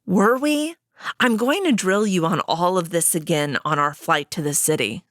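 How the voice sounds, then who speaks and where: A woman's voice: on the low side, moderate pace, fairly bright tone, no echo, clean studio sound, close to an everyday voice. A woman voicing the emperor's stern wolf sister, who corrects him curtly and bossily. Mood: annoyed. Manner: curt, scolding.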